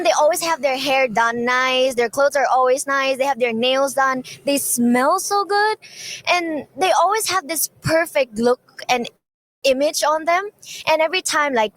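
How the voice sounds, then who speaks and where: High-voiced woman from Laos, earnest. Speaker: street interviewee. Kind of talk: casual interview answer.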